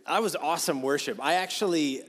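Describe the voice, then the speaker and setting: A man in his thirties preaching a sermon in a casual, chatty way, his voice going hoarse. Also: on the high side, a little fast, a bit bright. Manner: casual, conversational, with many fillers. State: losing his voice. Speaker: guest preacher. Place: church sanctuary. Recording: long hall reverb.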